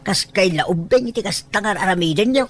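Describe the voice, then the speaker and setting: A woman's voice: medium pitch, lively intonation, a little fast, natural voice. Woman acting reproachful Ilocano family elder, faint noise. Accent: Ilocano Filipino. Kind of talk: amateur family audio drama acting.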